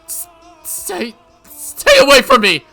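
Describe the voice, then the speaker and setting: A man's voice: mid-range voice, wildly swooping pitch, a little slow, sharp tone, soft background music, full character voice. A man voicing a suspicious, frightened teenage girl in a visual novel, accusing and strained. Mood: terrified. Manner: accusing, stammering, shrieking when cornered.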